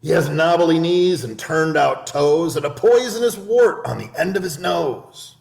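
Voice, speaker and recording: A man's voice: expressive, measured pace, vocal fry. A man voicing a small, clever brown mouse from a storybook, in a raised voice. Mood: bold. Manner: bright storybook voice, raised pitch. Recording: echoey room.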